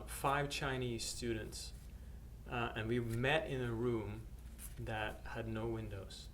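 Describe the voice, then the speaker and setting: Middle-aged male missionary from Norway, plain mid pitch, halting testimony with fillers; faint background noise. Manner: hesitant, many uhs and restarts. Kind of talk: personal testimony to camera.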